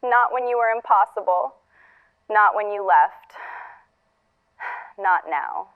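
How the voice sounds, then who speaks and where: An actress playing a wry, tender middle-aged woman leaving a farewell message, reflective and halting, through a narrow playback filter. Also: medium pitch. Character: wry, tender older woman saying a last goodbye. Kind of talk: recorded farewell message in audio fiction. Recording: thin, phone-like recorded playback.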